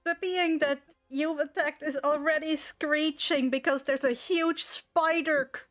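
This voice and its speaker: A woman's voice: sits high, fairly even intonation, a little slow, fairly bright tone, soft background music. A woman acting as game master, narrating and guiding an online tabletop roleplay.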